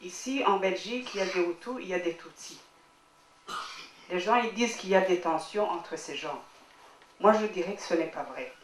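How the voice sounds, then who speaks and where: A woman's voice: fairly low pitch, moderate pace, slight background noise. A woman from Rwanda speaking from the audience after a film screening, earnest and repeating herself to make her point. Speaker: audience member. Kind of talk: public Q&A remarks. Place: screening hall. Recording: roomy hall, distant mic.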